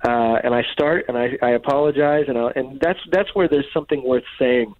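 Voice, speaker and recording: A man with a slight head cold talking reflectively as an interview guest, halting and correcting himself as he thinks. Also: mid-range voice, no room sound, narrow, tinny audio. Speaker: guest, touring worship musician. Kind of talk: casual reflective interview talk.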